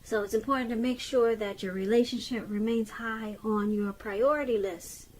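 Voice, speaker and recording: A woman giving an unscripted tarot reading to the viewer, halting as she goes, pausing mid-sentence and repeating phrases. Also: on the high side, fairly even intonation, a little mellow, a little hiss or hum. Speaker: tarot reader.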